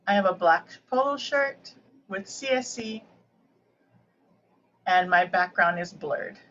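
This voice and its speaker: A woman's voice: mid-range voice, lively intonation, slow pace, a bit bright. A woman with a mid-range voice describes herself in short, simple statements. Her video call audio is dull, with faint noise underneath. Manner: plain, brief sentences. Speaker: project support specialist and interpreter. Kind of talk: webinar self-introduction.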